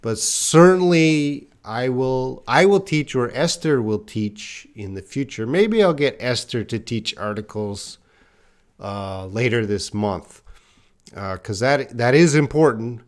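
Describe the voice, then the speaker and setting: A man's voice: medium pitch, animated, takes it slowly. A middle-aged man teaching a live online English class, answering learners' comments in a plain-spoken way and addressing them by name.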